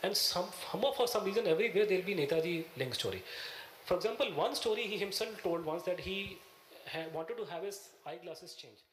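A man with a Punjabi accent, speaking as an interviewee and recounting events in a halting way as he searches for his words. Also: high-pitched, animated, roomy sound. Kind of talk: recounting, conversational.

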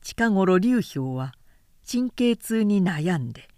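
Slow, expressive, mellow woman narrator. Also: medium pitch, no echo, studio quality. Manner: composed, dramatic storytelling. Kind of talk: literary audiobook narration.

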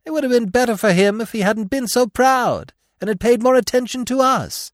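A man voicing an indignant, boastful jay from a storybook, with a raspy croak. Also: extremely high pitch, expressive, normal speed, dry recording, studio quality, pitched above the performer's own voice. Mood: scornful.

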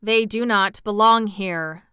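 A woman's voice: mid-range voice, takes it slowly, pushed and bright, clean tone, no echo, clean studio sound. Woman doing a cold haughty plant-folk prince. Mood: cold, imperious. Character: cold imperious vegetable prince, male.

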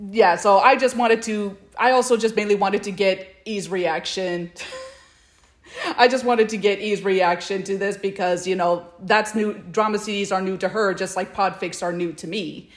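A woman's voice: medium pitch, measured pace. A woman co-hosting a podcast in casual chat, with a hesitant, meandering way of talking. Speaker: podcast co-host.

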